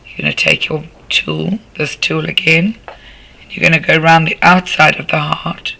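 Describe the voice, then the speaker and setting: A man with a croaky voice, patiently walking a class through a craft demonstration step by step as their art tutor. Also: a little high, flat delivery, normal speed, pushed and bright, low-quality recording. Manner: patient, instructive, talking while working with hands.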